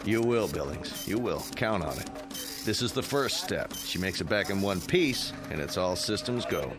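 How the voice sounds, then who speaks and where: A commanding Air Force general in a radio drama. His voice sits fairly low and his delivery is brisk and authoritative. Music plays clearly underneath. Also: expressive. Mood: assured. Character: commanding, clipped male Air Force general at flight control. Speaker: actor.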